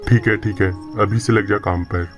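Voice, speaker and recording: A woman voicing a gruff male cartoon bird, a hotel owner who scolds in a harsh, bossy, scornful way. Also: rumbling low, fairly even intonation, normal speed. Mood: grudging, bossy. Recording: music behind.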